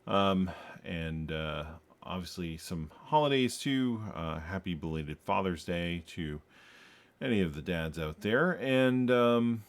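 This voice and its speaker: A man's voice: deep voice, lively intonation, takes it slowly. A man hosting a programming tutorial, thinking aloud as he codes, tired, with many ums and uhs. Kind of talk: casual screen-recorded coding walkthrough.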